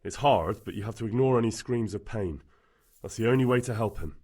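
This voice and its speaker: An actor playing an earnest, helpful young medieval villager in a video game, speaking plainly. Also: male voice, fairly low pitch, a little fast, a little mellow, breathy edge. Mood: earnest, concerned. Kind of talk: video game cutscene dialogue.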